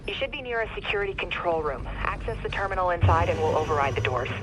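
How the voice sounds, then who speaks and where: An actress playing a steely, tense mission coordinator in a game, giving clipped, urgent orders with authority. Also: female voice, lowish voice, animated, quick pace, noisy background, close to an everyday voice. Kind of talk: dramatic game voice acting.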